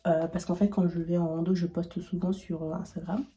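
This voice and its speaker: A woman's voice: fairly low pitch, little pitch movement, brisk, dark tone, a little hiss or hum. A young woman in her twenties with a cold, chatting casually while doing her makeup, rambling with many fillers and restarts. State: has a cold. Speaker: beauty vlogger.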